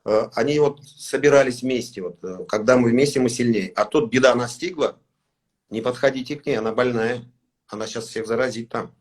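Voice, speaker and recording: A man talks in a mid-range voice, neither high nor low. He speaks haltingly and gently, often stopping to find the right Russian word. It sounds like a relaxed, casual interview. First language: Tatar. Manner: halting, searching for words, soft-spoken. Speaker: singer, interview guest.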